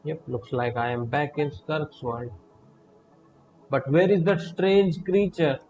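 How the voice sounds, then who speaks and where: A man's voice: fairly high pitch, lively intonation, slow, a little mellow, lo-fi sound, faint music behind. A man giving casual live commentary while he plays through a video game. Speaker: game livestreamer.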